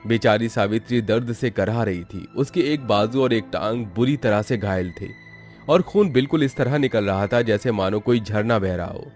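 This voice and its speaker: A man's voice: lowish voice, moderate pace, a little mellow. A man narrating a scripted story in a dramatic storytelling style. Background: background music.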